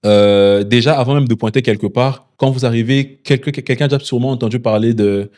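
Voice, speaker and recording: A man, a startup founder answering interview questions in a measured way with many hesitations. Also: mid-range voice, steady tone, a little mellow. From DR Congo. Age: 20s. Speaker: founder and CEO of a delivery startup.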